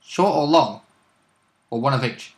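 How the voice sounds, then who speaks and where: A man's voice: mid-range voice, lively intonation, unhurried. Man in an echoey room. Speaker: co-host discussing game rules. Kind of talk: casual discussion of game rules. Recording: roomy, echoing room sound.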